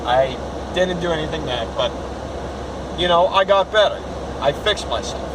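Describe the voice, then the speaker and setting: A man's voice: a little high, animated, normal speed. A nineteen-year-old man vlogging from his car on the drive home, ranting casually, rambling and swearing freely. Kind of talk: casual rant to camera. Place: in a car after work. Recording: loud car noise. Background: loud road and engine noise.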